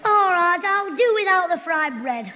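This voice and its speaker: A cheeky, boastful schoolboy with a very high, piping voice. He fires off quick-witted punchlines in 1960s radio-comedy style. The sound is dull and old, with a long hall echo behind it. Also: animated, heavy background noise.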